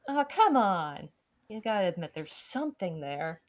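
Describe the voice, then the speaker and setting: A woman's voice: on the low side, sing-song, normal speed. A woman voicing a determined, casual character on a stubborn search in a visual novel. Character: determined, casual woman hunting for a mysterious florist.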